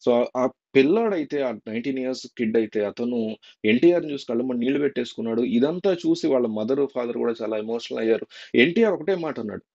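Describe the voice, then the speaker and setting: A man's voice: mid-range voice, animated, fast, vocal fry, warm tone, dull audio. A man giving informal, earnest and opinionated spoken news commentary for a video.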